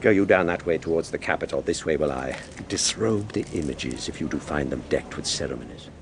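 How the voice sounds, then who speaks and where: A man's voice: very deep voice, lively intonation, brisk, vocal fry, heavily acted. A man playing a zealous, commanding Roman official in a Shakespeare radio drama, rhetorical and scornful. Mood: commanding, grave. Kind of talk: radio Shakespeare acting, declamatory. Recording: very noisy background.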